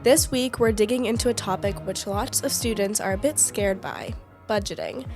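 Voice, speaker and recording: A woman's voice: mid-range voice, moderate pace, a little mellow, faint music behind. Young woman hosting a podcast. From United States. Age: young adult. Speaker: podcast host.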